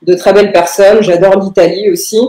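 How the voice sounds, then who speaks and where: Young woman, warm dark tone, hesitant interview answers, frequent hesitation sounds, strong room echo. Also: medium pitch, fairly even intonation. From Paris, France. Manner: hesitant, halting phrasing with very frequent filled pauses. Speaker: lawyer, interview guest. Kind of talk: podcast interview answers about own career. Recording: roomy, echoing room sound.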